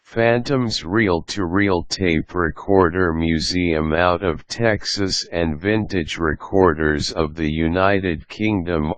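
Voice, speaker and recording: A man's voice: low-pitched, a bit bright, dry recording. A man reading a scripted how-to guide as an instructional narrator.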